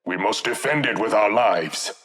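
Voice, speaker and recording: An actor voicing a proud warrior king in a video game, with an African accent and a measured, dignified, formal manner. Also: lowish voice, a bit bright. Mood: solemn, assured.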